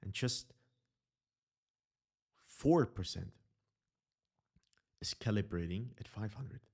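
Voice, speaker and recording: A man with a German accent, a native German speaker, earnestly teaching about consciousness over slides and often pausing to correct his words. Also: fairly low pitch, a little mellow, breathy edge. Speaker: consciousness mentor.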